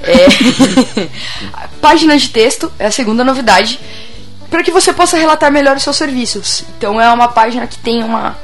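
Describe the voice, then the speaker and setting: A hoarse woman co-hosting a laid-back talk show, her voice sitting a little high. She banters easily and laughs often, with soft music under her. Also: animated, normal speed. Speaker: podcast co-host. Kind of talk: casual chat and sponsor read.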